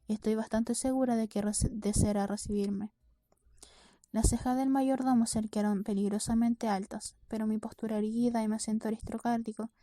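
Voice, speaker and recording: Mellow female audiobook narrator, even delivery. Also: on the high side, no room sound, studio quality. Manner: reads with occasional stumbles and self-corrections. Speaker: audiobook reader. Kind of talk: scripted first-person novel narration.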